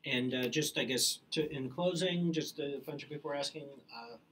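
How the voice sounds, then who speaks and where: A man co-hosting a live online Q&A talks casually, stopping often on "uh" while he finds his words. His voice sits somewhat high for a man. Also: fairly bright tone. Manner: casual, halting, many "uh"s. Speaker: company staff host answering questions. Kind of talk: live stream Q&A.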